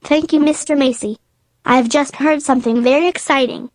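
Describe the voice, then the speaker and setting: An actress playing a polite, eager store executive in a 1940s radio drama. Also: female voice, sits high, steady tone, moderate pace, no echo, natural voice. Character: keen, polite career woman, store executive.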